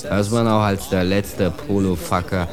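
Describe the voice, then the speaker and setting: Bitter proud immigrant, lowish voice, contemptuous, declamatory street slang, radio drama monologue over music. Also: male voice, steady tone, measured pace. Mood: bitter, scornful.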